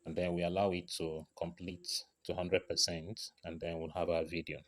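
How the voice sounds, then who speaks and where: Male tutorial presenter, low register, informal step-by-step narration, frequent little confirmation tags. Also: flat delivery, moderate pace. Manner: frequent check-in tags like right and okay.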